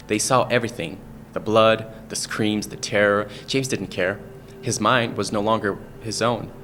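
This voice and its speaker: A man narrating a scripted true crime story in a grave, measured way, with dramatic pauses. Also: lowish voice. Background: background music.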